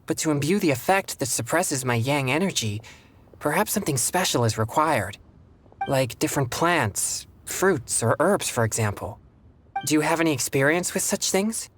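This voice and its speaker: An actor playing a polite, earnest young exorcist in a video game, with formal, measured phrasing. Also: male voice, on the high side, animated, a little hiss or hum, lightly acted. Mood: thoughtful. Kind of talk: video game character dialogue.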